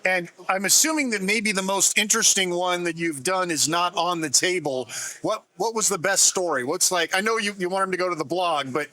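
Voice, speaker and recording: A man's voice: high voice, a little fast, bright, forceful tone. A man hosting an upbeat on-location interview, introducing his guest and asking questions. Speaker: interviewer and video host. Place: festival exhibit floor.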